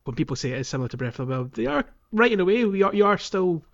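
A man co-hosting a casual gaming podcast, speaking in a halting way as he thinks aloud. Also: sits high, very animated, vocal fry. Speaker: podcast co-host. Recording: dull, band-limited voice recording.

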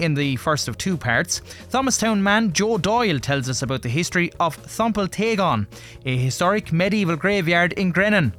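Higher-pitched Irish male radio host. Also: fairly bright tone, dry recording. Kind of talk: scripted radio programme introduction.

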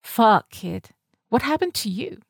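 A woman's voice: fairly high pitch, big pitch swings, measured pace, creaky, husky, no room sound, clean studio sound, pitched above the performer's own voice, full character voice. A woman voicing a brash, foul-mouthed London woman in her thirties, breezy and blunt, for an audiobook. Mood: blunt, auntie-like.

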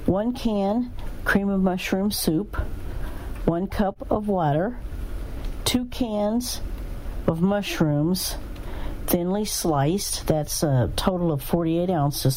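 Lady reading instructions out slow, low mellow voice, bit of background noise. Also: poor audio. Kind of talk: recipe read aloud, step by step.

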